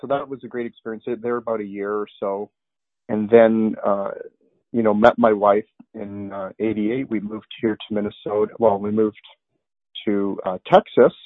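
A middle-aged man tells his story in an earnest, rambling way, often restarting his sentences. His voice sits on the low side and sounds dry and close. The sound is narrow and tinny, like a phone line. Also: no echo. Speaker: interview guest. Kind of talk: conversational testimony.

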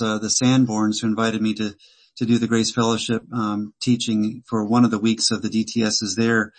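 A man interviewing a guest, encouraging and affirming as he asks his questions. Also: on the low side.

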